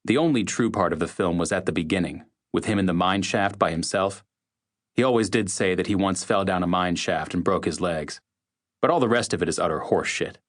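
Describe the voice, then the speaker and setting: A man with a fairly low voice reads a first-person oral history account. He sounds opinionated and emphatic as he delivers the scripted audiobook testimony. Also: a little fast. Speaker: audiobook narrator.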